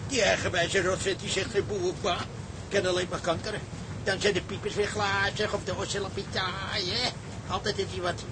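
An actor playing a grumbling but soft-hearted ship's cook, talking colloquially in a naturalistic drama. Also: male voice, flat delivery, normal speed, natural voice. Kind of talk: naturalistic Dutch drama acting. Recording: clear engine rumble and ship noise.

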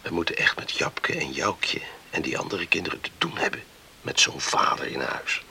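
An actor playing a loyal young farmhand in a radio play, earnest, hesitant and concerned. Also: male voice, deep voice, wildly swooping pitch, normal speed, vocal fry, bright, forceful tone, slight background noise, heavily acted. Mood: hushed concern.

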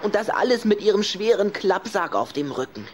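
A woman's voice: mid-range voice, very animated, normal speed, a little hiss or hum, close to an everyday voice. A woman playing a proud, touchy, lovesick young boy vampire in a theatrical way for a children's radio play.

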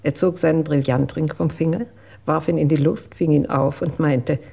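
Husky, creaky-voiced matter-of-fact woman. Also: low-pitched, fairly even intonation, normal speed, dark tone, heavily acted. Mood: composed witness. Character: matter-of-fact female household employee with a weak heart. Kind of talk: radio drama acting.

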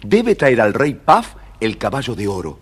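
A man with an Argentine accent and a husky voice, narrating a scripted story for children. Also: mid-range voice, wildly swooping pitch, measured pace.

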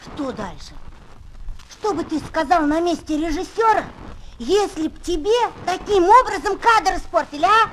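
Schoolboy, high voice, swooping intonation, emotional storyteller, children's radio play, noisy old flexi-disc. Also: female voice, expressive, moderate pace, fairly bright tone. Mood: excited, dramatic. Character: boastful yet tender-hearted schoolboy Tolka, would-be film actor.

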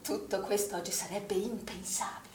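An actress playing an anguished, doubt-ridden middle-aged woman in charge, speaking in an agitated, halting stage-drama style and repeating her phrases. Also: mid-range voice, steady tone, close to an everyday voice. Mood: troubled, pleading. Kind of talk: stage drama acting, emotional monologue. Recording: roomy, distant theatre recording, faint noise.

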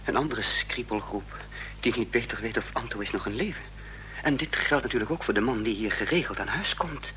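An actor playing a tense young man in hiding, speaking and narrating haltingly in a Dutch radio drama. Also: medium pitch, expressive, bright, forceful tone. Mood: agitated. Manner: halting, deliberate. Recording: noisy, humming old radio recording.

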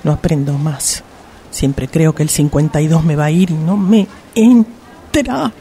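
A woman's voice: low voice, unhurried, warm tone, slight background noise. A woman with a Rioplatense Argentine accent playing a fed-up shopper's grumbling, sweary inner voice in a radio play. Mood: self-reproachful. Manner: muttering, exasperated, sweary.

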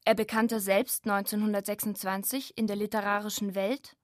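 Eine Frau als Sprecherin, die einen geschriebenen Dokumentartext vorträgt. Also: female voice, mid-range voice, little pitch movement, normal speed, no echo, studio quality. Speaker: narrator. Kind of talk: scripted documentary narration.

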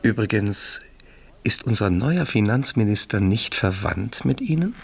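Pompous courtly marquis, period radio drama, husky low voice with very animated melody. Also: male voice, measured pace, exaggerated voice. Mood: suave, pleased. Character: suave, self-satisfied French marquis, flattering faithless lover. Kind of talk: German radio play acting, period drama.

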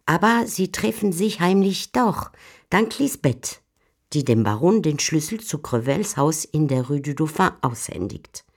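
A woman's voice: deep voice, big pitch swings, a little slow. A woman reading scripted literary narration for a radio play.

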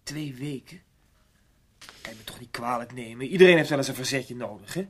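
A man's voice: medium pitch, a little fast, a bit bright, close to an everyday voice. An actor playing a needy, uneasy young man in a radio drama, pleading and often asking for agreement. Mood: defensive, pleading. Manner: pleading, seeking agreement with tag questions.

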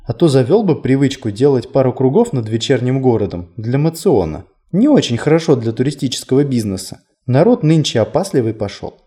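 A man's voice: medium pitch, very animated, fairly quick, few pauses, mellow, close to an everyday voice. A man voicing a whimsical, chatty male tour guide in an audiobook, conversational, playful and self-deprecating. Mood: playful, confiding. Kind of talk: audiobook character dialogue.

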